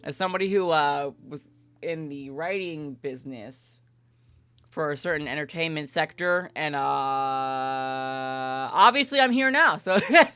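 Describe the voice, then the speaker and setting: Woman, lower-pitched voice, gaming livestream, casual and candid, read-aloud narration and chat, soft music behind. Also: lively intonation, measured pace, smooth. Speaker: livestreamer playing and reading aloud a visual novel. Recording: background music under the voice. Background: game music.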